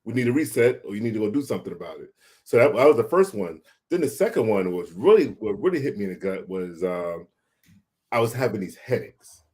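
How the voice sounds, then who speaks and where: A man talking casually and telling a personal story in a halting way, repeating words as he thinks aloud. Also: on the low side, sing-song, darkish tone. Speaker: guest sharing a personal health story.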